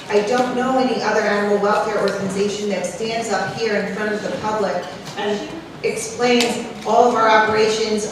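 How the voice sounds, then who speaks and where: A woman shelter official answering public comments at a board meeting, earnest and pleading, with quick restarts. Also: mid-range voice. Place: large meeting hall. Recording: echoey hall from far off, background noise.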